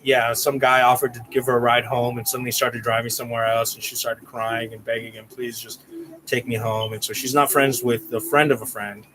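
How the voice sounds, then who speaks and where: A man's voice: medium pitch, flat delivery, a little fast, background noise. A man hosting a casual livestream, rambling with restarts and reading out viewer names. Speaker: channel host.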